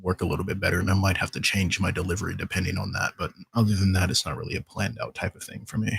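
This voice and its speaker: A man talking casually and reflectively as a podcast guest, explaining things in a measured way. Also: low voice, little pitch movement, creaky, slight background noise.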